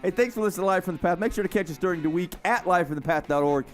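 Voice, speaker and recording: A man's voice: sits high, rapid-fire, soft background music. A married man co-hosting a radio talk show, teasing the others with a laugh and reading the show's closing notes. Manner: playful teasing, laughs often. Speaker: radio co-host. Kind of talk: casual banter and show outro.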